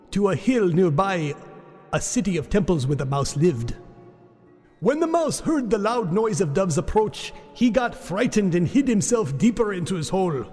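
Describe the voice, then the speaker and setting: A man's voice: high-pitched, a little mellow. A man giving an exaggerated, theatrical storyteller's reading of a folk tale. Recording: long reverb with music behind.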